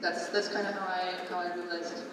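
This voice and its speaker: A woman's voice: on the low side, flat delivery, a little fast, background noise. A woman in her twenties from the United States, talking casually and with self-deprecating humor as a panelist, with lots of fillers. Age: 20s. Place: large conference hall. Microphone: distant venue microphone. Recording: echoey hall from far off.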